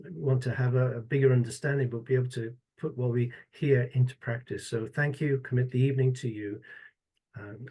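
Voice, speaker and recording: Man leading a halting informal prayer. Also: medium pitch, warm tone. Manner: halting, informal, in short broken phrases. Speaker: ministry representative leading prayer. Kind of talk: spoken prayer.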